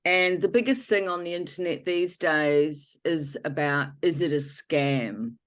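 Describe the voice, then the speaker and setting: A woman's voice: low voice, unhurried. A woman speaking as a healer guest, leading a guided healing talk in a rambling, self-correcting way.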